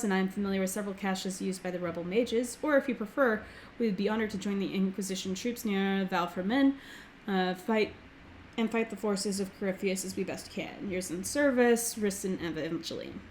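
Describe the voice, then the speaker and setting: A young woman hosting a game let's play, slightly hoarse as she recovers from a throat infection, chatting casually and reading game text aloud. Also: medium pitch, a little mellow, a little hiss or hum, low-quality recording. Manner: reads game text aloud quickly, filler-heavy. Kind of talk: casual gameplay commentary.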